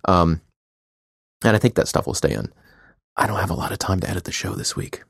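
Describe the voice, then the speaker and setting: A man's voice: low voice, brisk, gravelly, a bit bright, dry recording, clean studio sound. A man co-hosting a chatty podcast, rambling with restarts and easy laughs. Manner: rambling, self-interrupting, laughs easily. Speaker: podcast co-host and editor. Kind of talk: casual chat.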